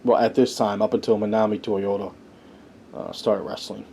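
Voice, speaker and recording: A man's voice: fairly low pitch, little pitch movement, normal speed, creaky, dark tone, husky. A man hosting a podcast, giving casual conversational commentary as he reads through a list and adds his own asides. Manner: conversational, tossing in personal asides. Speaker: podcast host reviewing wrestling history.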